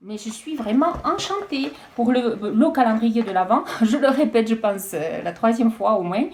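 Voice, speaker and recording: A woman chatting casually as she unboxes beauty products, hesitant and halting with frequent restarts. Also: on the high side, expressive, darkish tone, a little hiss or hum. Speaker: beauty vlogger.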